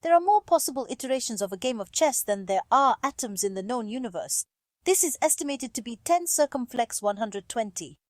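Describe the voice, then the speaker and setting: A woman with a slightly high voice narrates scripted facts. The sound is dry, with no room around it, in a voice-over style. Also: animated, a little fast, dry recording.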